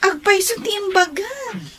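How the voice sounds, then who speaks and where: A woman's voice: very high voice, animated, takes it slowly, a bit bright, slight background noise, full character voice. A young woman voicing a shy daughter about to work abroad, bashful and giggly.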